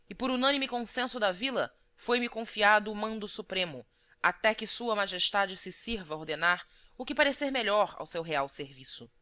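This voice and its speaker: A self-important barber turned rebel leader addresses the townsfolk, as voiced by a woman audiobook reader. The delivery is oratorical and emphatic, with a bright, forceful edge. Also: mid-range voice.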